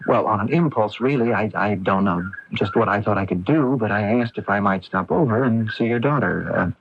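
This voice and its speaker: An actor playing a polite, earnest young medical graduate in a radio drama, with a diffident, halting manner. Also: male voice, lowish voice, vocal fry. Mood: flustered, hesitant. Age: young adult. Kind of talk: radio drama narration and dialogue. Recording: dull, noisy vintage AM radio broadcast.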